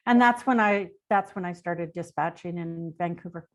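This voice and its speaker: A woman's voice: on the low side, moderate pace. An older woman with a Canadian accent, speaking as an interview guest in an informal, rambling, anecdotal way. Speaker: interview guest, retired police dispatcher. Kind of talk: conversational personal storytelling.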